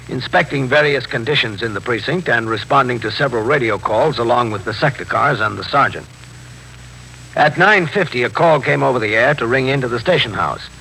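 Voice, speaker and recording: A man's voice: mid-range voice, gravelly, bright, forceful tone. A man playing a businesslike middle-aged police captain in a 1950s radio drama, speaking in a clipped, formal, matter-of-fact way. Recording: noisy 1950s radio recording.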